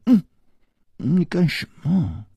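Young village woman in love, played by a male narrator: coy, scolding, husky whispery tone, very animated storybook delivery. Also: medium pitch, measured pace, dry recording, lo-fi sound, exaggerated voice. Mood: pouting, teasing.

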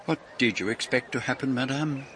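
A man's voice: medium pitch, brisk, natural voice. Guy acting a polite foreign detective in a radio play, formal, probing, bit of noise behind him. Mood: politely probing. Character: courteous, probing male foreign detective, narrating his case. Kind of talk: radio drama acting and narration.

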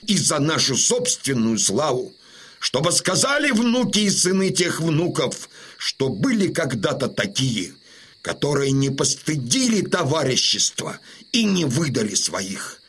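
Husky, rousing older Cossack chieftain. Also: male voice, on the high side, sing-song, a little slow, a bit bright, exaggerated voice. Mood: rousing, solemn. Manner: declamatory, oratorical, solemn. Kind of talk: Russian radio drama acting.

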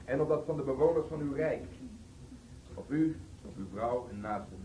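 A man acting in a radio play as a calm, principled leader of a wandering brotherhood, speaking in measured, terse maxims. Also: medium pitch, distant mic, lightly acted. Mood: grave, reserved. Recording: roomy, off-mic, faint hiss.

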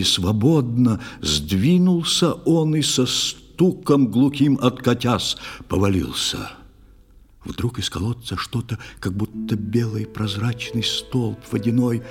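A man narrating an audio play in verse, expressive and theatrical. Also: medium pitch, husky. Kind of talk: scripted dramatic verse narration.

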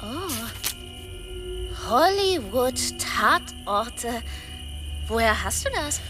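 Radio drama casting: whiny, naive young female streetwalker, very high voice, slow puzzled delivery. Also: very animated, music in the background, full character voice. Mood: curious, impressed. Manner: hesitant, puzzled, questioning. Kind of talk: German radio crime drama acting.

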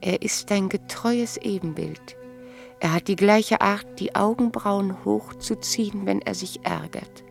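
An actress playing a mature, serene wife who appears like a dream in a radio play, speaking in measured, poetic phrases. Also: female voice, mid-range voice, vocal fry, soft background music. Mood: tender.

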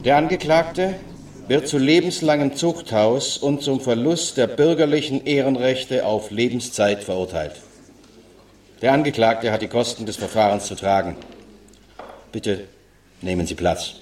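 An actor playing a stern judge in a radio play, formally reading out a criminal verdict. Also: male voice, mid-range voice, darkish tone, far from the mic, slight background noise, natural voice. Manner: formal, official, measured. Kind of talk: formal court verdict read aloud.

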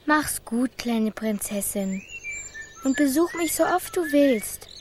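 A woman's voice: high voice, expressive, slow, a little mellow, slight background noise. A female performer playing a plucky, outspoken six-year-old girl in a children's radio play. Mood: gentle. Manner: direct, spirited.

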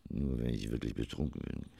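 An actor playing a vain, hard-drinking stage performer in a radio drama, pompous and declamatory, with a husky, gravelly voice. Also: male voice, a bass voice, brisk, mellow, heavily acted. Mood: boastful, brooding. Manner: declamatory, pompous, swinging from murmur to outburst. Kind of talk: German radio drama acting. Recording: long reverberant hall.

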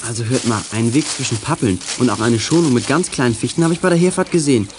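A confident teenage boy acted by a man in a children's radio adventure. He talks quickly in a mid-pitched voice, with faint outdoor noise behind him. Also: close to an everyday voice. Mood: keen. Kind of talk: German children's radio play acting.

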